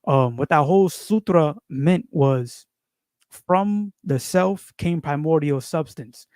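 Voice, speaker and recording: Give me a man, rambling casually about spirituality, full of fillers, fairly high-pitched, recorded dry and close. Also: slow pace, a little mellow, no room sound. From New York. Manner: rambling, repeats phrases, frequent fillers and slang. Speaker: video creator.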